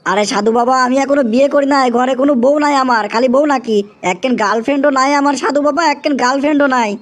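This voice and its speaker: A man acts out an excitable young cartoon bachelor with a strikingly high voice. He has a Bangladeshi accent and whines and pleads, hammering his phrases again and again like a comic schemer chasing fame. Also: fast. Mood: pleading. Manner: whiny, pleading, repeats himself emphatically. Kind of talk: exaggerated comic cartoon acting.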